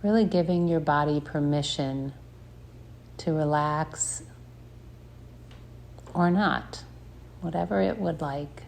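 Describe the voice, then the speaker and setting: Slow, low-voiced woman guiding meditation. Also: creaky, slight background noise. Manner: slow, soft, gentle guidance with long pauses. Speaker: meditation teacher. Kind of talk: guided meditation.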